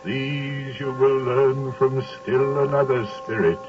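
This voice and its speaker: A man's voice: mid-range voice, steady tone, music in the background, lightly acted. A man playing an aged, solemn dying spirit in a 1930s radio drama, on a muffled, distant recording. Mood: solemn farewell. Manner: slow, solemn.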